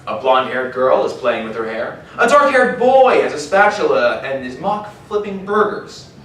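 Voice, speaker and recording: A man narrating a scripted story in an animated, storytelling style at a live panel. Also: medium pitch, normal speed. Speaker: narrator of a live audio drama table read. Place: large indoor panel room at a convention. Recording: echoey convention hall, distant mic, faint noise.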